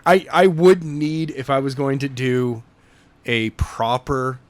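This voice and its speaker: A man's voice: medium pitch, steady tone, takes it slowly, a little hiss or hum. A man co-hosting a podcast, chatting casually about books and storytelling. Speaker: podcast co-host and amateur narrator. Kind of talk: casual book discussion.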